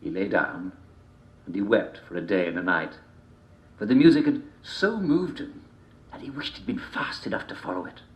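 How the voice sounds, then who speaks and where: A man tells a fairy tale in a lowish voice that rises and falls dramatically. He is deliberate and theatrical, leaning on pauses and repetition. The recording is roomy and echoing. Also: sing-song. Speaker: storyteller reading a folk tale.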